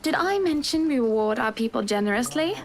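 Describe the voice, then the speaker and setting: An actress playing a wealthy, gracious, self-assured wife in a visual novel game, poised and sweetly imperious. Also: female voice, a little high, animated, fairly quick. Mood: sweet, commanding. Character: wealthy, gracious, self-assured married woman buying a mansion.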